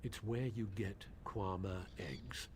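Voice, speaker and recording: A man's voice: fairly low pitch, slow pace, breathy edge, full character voice. A man voicing a timid, hesitant mine keeper who is hurt and pleading, in a video game. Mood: explaining. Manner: hesitant, stammering.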